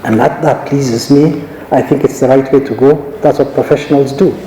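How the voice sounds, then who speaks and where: A middle-aged man from Kenya, a judge, giving a measured, anecdotal conference talk with frequent restarts. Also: medium pitch, steady tone, mellow, a little hiss or hum. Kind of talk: conference speech. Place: large conference hall. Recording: echoey hall recording.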